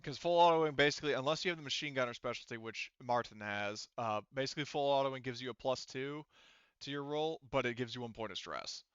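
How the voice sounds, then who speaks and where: Bright, clear-voiced man as game master. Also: medium pitch, a little fast, no room sound, lo-fi sound. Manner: informal, swearing, explanatory. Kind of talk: narrating and refereeing a tabletop roleplaying game, casual.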